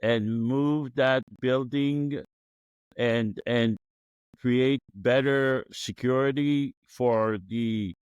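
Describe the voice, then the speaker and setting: Male host, mid pitch, unhurried, dry close sound, probing questions. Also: clear, resonant tone, no echo, highs cut off. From Israel. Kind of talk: interview questions.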